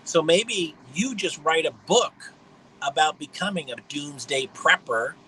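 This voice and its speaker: A man in his fifties with a high voice, hosting a rambling livestream monologue in a blunt, mocking way. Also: expressive, a little slow, bright, forceful tone, slight background noise, rough recording. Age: 50s. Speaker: livestream host.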